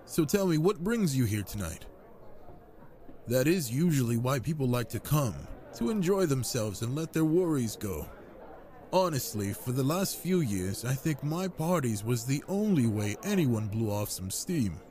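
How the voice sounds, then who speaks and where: Man acting flirtatious wine god, genial, smooth-talking, expressive swooping delivery, intimate audio drama. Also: mid-range voice, a little slow. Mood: flirtatious, tender.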